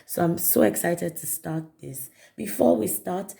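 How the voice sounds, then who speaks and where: A woman reviewing perfumes in a chatty vlog, her voice raspy and hoarse from a cold. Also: fairly low pitch, a little slow, dark tone. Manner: chatty, repeats herself, many fillers. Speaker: perfume vlogger. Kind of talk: casual product review to camera.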